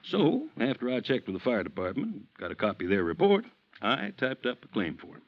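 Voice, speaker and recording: An actor playing a hearty, chatty insurance agent, in an old-time radio drama. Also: male voice, medium pitch, huge pitch swings, fairly quick, no room sound. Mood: riled up. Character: stocky, folksy, talkative insurance agent in his late fifties. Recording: dull, muffled vintage radio recording.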